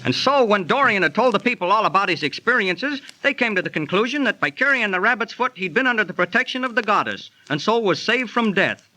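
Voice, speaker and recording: High, sharp-voiced skeptical boss. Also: male voice, expressive, fairly quick, hardly any pauses, slight background noise, heavily acted, low-quality recording. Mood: reasoning. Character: skeptical, teasing boss and storyteller in comic duo. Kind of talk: 1930s radio acting.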